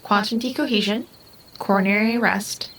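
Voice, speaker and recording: An actress playing a half-human house intelligence in an audio drama, calm, formal and devoted, with precise clinical diction. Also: female voice, medium pitch, normal speed, slight background noise, lightly acted, low-quality recording. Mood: matter-of-fact.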